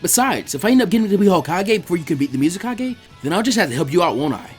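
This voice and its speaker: A man performs a brash twelve-year-old ninja boy in a story reading. The delivery is higher-pitched, informal and animated. He pushes his point with earnest, stubborn encouragement. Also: very fast, soft background music. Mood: cheerful, friendly. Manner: informal, emphatic, urging.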